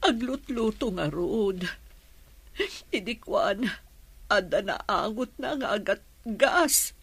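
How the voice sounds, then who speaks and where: A woman's voice: medium pitch, expressive, slow, breathy edge, full character voice. A woman playing a distraught, fretful mother in a melodramatic radio drama, plaintive and emotional. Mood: sorrowful.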